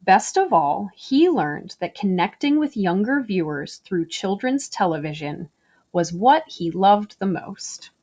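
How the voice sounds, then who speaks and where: A woman reads a picture book aloud for children at an unhurried, slow pace. Her pitch moves up and down freely, and she phrases each sentence deliberately, leaning on key words in an expressive storytelling style.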